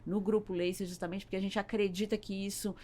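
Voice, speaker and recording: A woman's voice: mid-range voice, flat delivery, a little fast, darkish tone, a little hiss or hum. A Brazilian woman speaking as a business owner in a conversational podcast interview, explaining and repeating words as she thinks.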